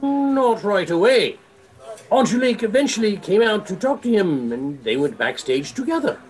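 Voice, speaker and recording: An actor playing a retired, gossipy nightclub regular, speaking in a deliberate, knowing way. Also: male voice, sits high, sing-song, low-quality recording. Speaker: actor in community theatre radio play. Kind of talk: theatrical radio play dialogue.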